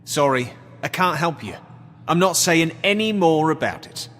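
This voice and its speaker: An actor playing a cocky, evasive street thug in a video game, flippant, slangy and defensive under questioning. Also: male voice, on the high side, animated, normal speed, a bit bright, long reverb tail, faint music behind. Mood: evasive, insistent.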